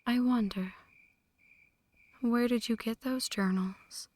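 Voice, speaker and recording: A woman playing a flirty, brooding female vampire in an ASMR roleplay, speaking in hushed, breathy whispers with a teasing, poetic delivery. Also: on the high side, takes it slowly. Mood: teasing, seductive. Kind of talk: ASMR roleplay, scripted dramatic monologue.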